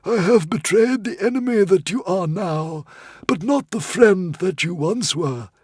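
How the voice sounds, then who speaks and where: A man voicing a small, nervous, ancient male sea dragon with a squeaky, wavering voice. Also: lively intonation, a little slow, husky, no room sound, heavily acted. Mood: flustered.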